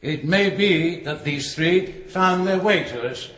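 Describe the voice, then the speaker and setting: A man's voice: a little high, slow pace, fairly bright tone. A man playing a fussy, hesitant abbey superior addressing his monks in a radio drama. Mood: formal, hesitant. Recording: echoing stone-hall reverb.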